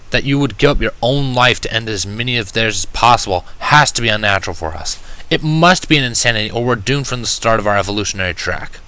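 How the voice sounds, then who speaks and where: Low-pitched male storyteller, bright and forceful. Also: low-quality recording. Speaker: fan fiction reader. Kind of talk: first-person dramatic story narration. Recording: music behind the voice. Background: music.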